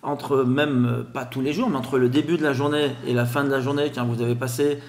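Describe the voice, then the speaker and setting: A man with a Grenoble accent, a guest explaining telemarketing in a podcast interview, with a lively sing-song way of talking. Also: medium pitch, a little fast, reverberant. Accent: Grenoble French.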